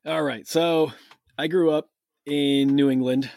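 A man's voice: fairly high pitch, steady tone, a little slow, gravelly, a little mellow, no room sound, clean studio sound. A young man from Massachusetts co-hosting a casual podcast, rambling with many fillers and restarts. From Massachusetts, USA. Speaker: podcast co-host. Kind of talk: casual chat.

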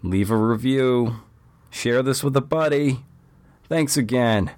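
A man hosting a podcast, asking questions in a halting, casual way. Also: mid-range voice, darkish tone, no room sound. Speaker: podcast host.

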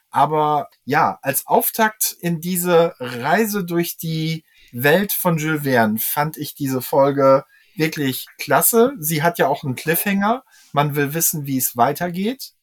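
Male podcast guest, slightly high voice, explanatory expert delivering a detailed review in conversation. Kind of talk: podcast discussion, giving a review.

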